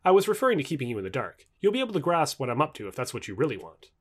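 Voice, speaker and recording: A man's voice: medium pitch, big pitch swings, racing, husky. A man voicing an earnest, reassuring male artificial intelligence from the future in a dialogue reading. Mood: devoted.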